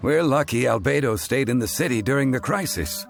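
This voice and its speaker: A man's voice: mid-range voice, a little fast, vocal fry, music in the background, close to an everyday voice. A man playing an earnest, admiring townsman in a video game, musing expressively. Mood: impressed, musing.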